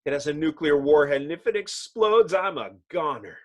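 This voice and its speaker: A man's voice: fairly high pitch, lively intonation, normal speed, lightly acted. A man voicing a cocky, hot-headed young superhero in an earnest, exclamatory way. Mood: rueful, alarmed.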